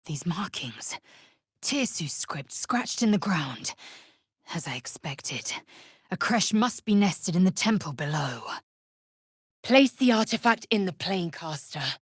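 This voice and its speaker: A woman playing a fierce, proud alien warrior in a video game, speaking in a haughty, clipped, formal manner with a husky edge. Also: fairly low pitch, sing-song, unhurried, dry recording, clean studio sound, heavily acted. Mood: low, intent. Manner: imperious, clipped, formal phrasing. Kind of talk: video game character acting.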